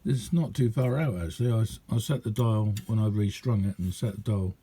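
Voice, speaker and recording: Man, very mellow dark tone, husky, lowish voice, hesitant, casual rambling demo. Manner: hesitant, unhurried, chatty. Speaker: radio hobbyist. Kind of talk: casual hands-on demonstration, rambling.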